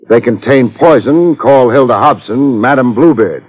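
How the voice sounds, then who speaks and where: An American actor playing a wry, tough private detective in clipped, sardonic 1950s radio style. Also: male voice, medium pitch, normal speed, natural voice. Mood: urgent, sardonic. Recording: muffled old radio recording.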